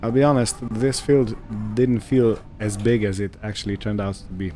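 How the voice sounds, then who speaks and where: A man's voice: lowish voice, moderate pace, mellow, soft background music. A man giving casual, musing gameplay commentary, hesitant and thinking aloud as he goes. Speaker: gaming video host.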